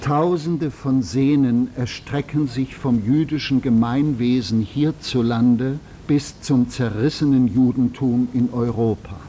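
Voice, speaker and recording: A man's voice: mid-range voice, measured pace, few pauses, mellow, a little hiss or hum, close to an everyday voice. A man narrating a historical radio play as a grave chronicler reading a scripted account.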